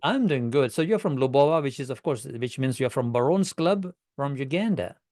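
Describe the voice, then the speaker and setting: A man's voice: mid-range voice, expressive, normal speed, darkish tone, no echo, studio quality. A man hosting an online club meeting, greeting guests in a friendly, upbeat way over a video call.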